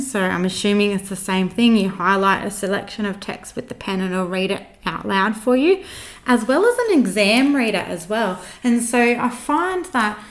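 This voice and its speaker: A woman educator talks through learning technology in a loose, conversational way. She often pauses on fillers and rephrases as she goes. Her voice sits in a middle range. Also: medium pitch. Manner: conversational, with frequent fillers and restarts. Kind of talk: informal teaching talk.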